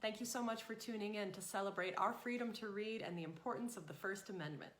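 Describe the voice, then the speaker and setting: A woman's voice: medium pitch, quick pace, a bit bright, echoey room, slight background noise. A woman presenting a scripted welcome and introduction as the host of a program.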